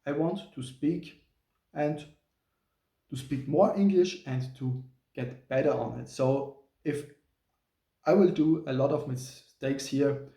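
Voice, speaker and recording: A man's voice: mid-range voice, gravelly, mellow. German-accented Austrian man, roomy distant sound. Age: 30s. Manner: careful non-native phrasing with pauses and restarts. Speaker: channel host, software engineer. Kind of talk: casual talking-head vlog.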